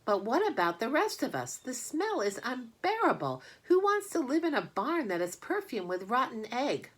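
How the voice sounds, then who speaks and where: A woman's voice: on the high side, wildly swooping pitch, normal speed, fairly bright tone, slightly rough, pitched above the performer's own voice, full character voice. A woman voicing a whining young lamb in a storybook, plaintive and complaining.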